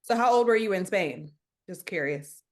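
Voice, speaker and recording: Woman, interviewer, mid pitch, casual, informal, quick affirmations. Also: expressive, a little mellow.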